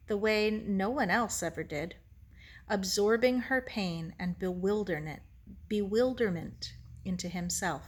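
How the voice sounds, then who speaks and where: A woman reading a children's novel aloud as its narrator, in scripted storytelling. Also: mid-range voice. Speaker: reader.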